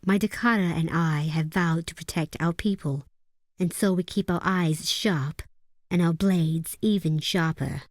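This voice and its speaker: A woman playing a fierce, proud and bitter desert tribeswoman in a video game, speaking in solemn, deliberate, formal phrases. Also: lowish voice, darkish tone, no room sound, clean studio sound. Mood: steady, businesslike. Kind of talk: scripted video game character dialogue.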